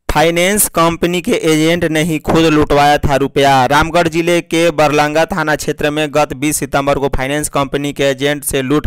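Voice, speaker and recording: A man reading a scripted news bulletin in a formal, measured manner as a news reader. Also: fairly high pitch, clean tone, no echo.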